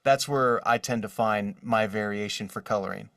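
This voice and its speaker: A man's voice: on the low side, normal speed, vocal fry, a bit bright, dry recording, studio quality, distant mic. A man speaking as a voice actor guest in a casual podcast interview, conversational and given to quoted asides.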